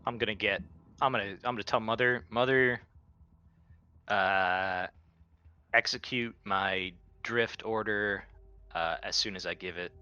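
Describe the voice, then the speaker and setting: Male roleplaying gamer, low pitch, forceful bright tone, casual delivery. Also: dull audio. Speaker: player in a tabletop roleplaying game. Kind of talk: casual in-game narration of his character's actions.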